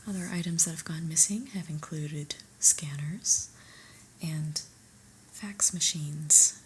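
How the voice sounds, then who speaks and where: A woman playing an unimpressed, politely corporate new manager in a soft, whispered ASMR roleplay, with a measured, dryly disapproving manner. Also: low voice, slight background noise.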